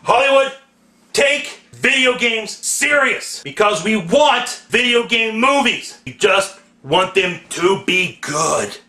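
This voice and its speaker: Male ranter, very high-pitched and sharp, swearing and exasperated, roomy sound. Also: expressive, takes it slowly. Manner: ranting, sweary, emphatic, exasperated. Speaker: host of a video rant segment. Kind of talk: profane angry film rant. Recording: echoey room.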